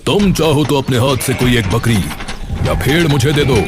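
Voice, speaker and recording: A man playing magical cartoon wolf, hungry, commanding, deliberate, menacing yet pitying, mid-pitched male voice, music behind. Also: close to an everyday voice. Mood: menacing, demanding. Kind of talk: Hindi animated moral story dialogue.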